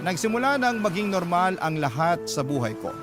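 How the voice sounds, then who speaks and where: Man narrating over clear background music. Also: high-pitched, sing-song, normal speed. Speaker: radio drama host and narrator. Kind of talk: scripted first-person story narration reading a letter. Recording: music bed under the narration.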